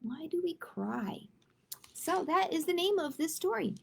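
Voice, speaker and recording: A woman's voice: extremely high pitch, lively intonation, unhurried, creaky. A woman hosting a children's storytime, reading picture books aloud and chatting expressively and directly with young listeners. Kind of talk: children's read-aloud with casual chat.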